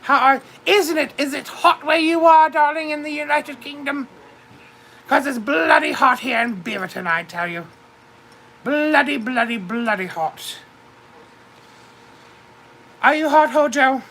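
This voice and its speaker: A grand British duchess in her fifties, put on by a woman, lords it over her livestream viewers. She talks theatrically and calls everyone darling. Her voice is bright and gravelly and sits fairly high. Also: measured pace, long pauses, a little hiss or hum, rough recording. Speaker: livestream host. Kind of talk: in-character livestream chat.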